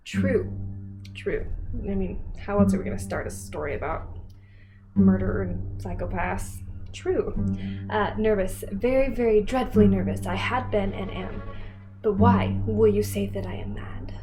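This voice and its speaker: A woman's voice: medium pitch, a little slow, over music. A woman host doing a semi-dramatic read-aloud of a story, wry and informal, breaking off for casual asides.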